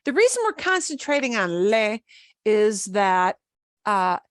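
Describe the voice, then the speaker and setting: A woman teaching a class over a video call, with a Midwestern American accent. She talks slowly and patiently, pausing on fillers and restarting her sentences. Her voice sounds dry and close. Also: medium pitch, very animated, no room sound. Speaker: Spanish teacher. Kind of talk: online class lesson.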